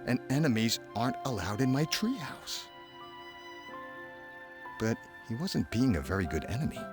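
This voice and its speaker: A man's voice: mid-range voice, sing-song, moderate pace, over music, close to an everyday voice. A man reading as a young boy storybook narrator who is earnest, suspicious and puzzled, musing with dramatic hesitations. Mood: possessive, grudging.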